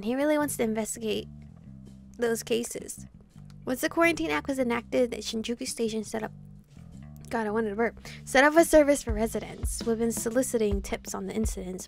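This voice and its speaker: A woman's voice: fairly high pitch, gravelly. A young woman doing chatty, playful let's play commentary and reading game dialogue aloud, with game music behind her. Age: young adult. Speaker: gaming video host.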